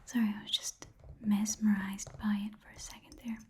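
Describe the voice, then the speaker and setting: A woman voicing an easygoing goblin pawn shopkeeper in a soft, breathy whispered ASMR roleplay, haggling in a casual, rambling way. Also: mid-range voice, level tone, moderate pace, lightly acted. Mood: casual, helpful.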